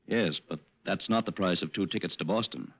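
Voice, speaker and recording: An actor playing a methodical, businesslike federal agent, formal and politely probing, in 1940s radio style. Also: male voice, sits low, fairly quick. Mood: methodical, firm. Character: businesslike, methodical male FBI special agent. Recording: muffled old-time radio recording.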